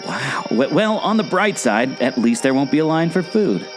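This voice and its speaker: Casting: good-natured, easily distracted sidekick , played by a man, lightish pitch, very expressive, comic audio drama. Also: normal speed, over music. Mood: cheerful. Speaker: podcast co-host. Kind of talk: scripted audio drama dialogue.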